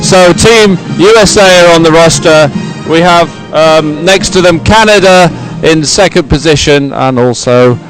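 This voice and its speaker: A man presenting a live sports broadcast, ad-libbing commentary in a halting, hesitant way. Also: fairly high pitch, faint music behind, poor audio. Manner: hesitant, halting ad-libbed delivery. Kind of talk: live unscripted event commentary.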